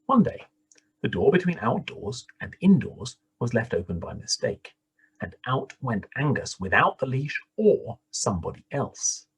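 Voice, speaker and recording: A man reading a children's story aloud in an expressive, deliberate manner with dramatic pauses. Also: medium pitch, breathy edge, poor audio. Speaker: storybook reader.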